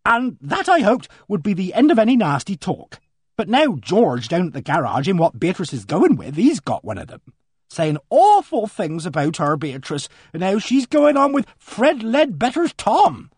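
Man putting on a fussy middle-aged charwoman, breathless rambling, high voice, swooping pitch. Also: huge pitch swings, moderate pace, husky, dry recording, studio quality, heavily acted. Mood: agitated. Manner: breathless, rambling country speech.

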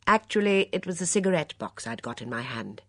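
A woman voicing a composed, brisk older lady of the house in an audiobook, firm and matter-of-fact. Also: low voice, very animated, no room sound, studio quality, exaggerated voice. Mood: shaken, precise. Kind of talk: audiobook character dialogue.

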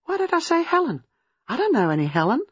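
A woman reading a storybook, playing a distraught young married woman who is frightened, halting and agitated. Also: mid-range voice, very animated, slightly rough, no echo, highs cut off, voice pushed up. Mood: despairing, frantic. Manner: agitated, halting, rushing in bursts.

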